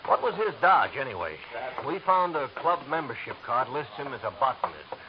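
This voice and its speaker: An actor playing a blunt, businesslike police lieutenant in a 1940s radio drama. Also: male voice, mid-range voice, big pitch swings, highs cut off, noisy background, close to an everyday voice. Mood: brisk. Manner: brisk, blunt. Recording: old radio recording with busy crowd.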